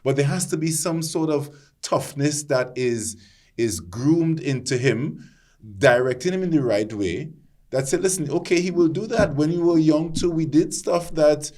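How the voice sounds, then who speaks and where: A man with a deep voice making an earnest point in a panel discussion, often restarting his sentences as he builds his argument. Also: lively intonation, moderate pace, darkish tone.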